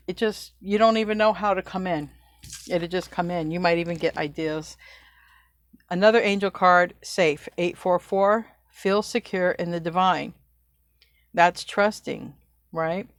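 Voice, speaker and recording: Chatty woman giving a low-pitched tarot reading. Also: no echo, clean studio sound. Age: middle-aged. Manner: chatty, loose, self-correcting, laughs at own slips. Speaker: tarot reader. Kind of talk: casual spiritual reading.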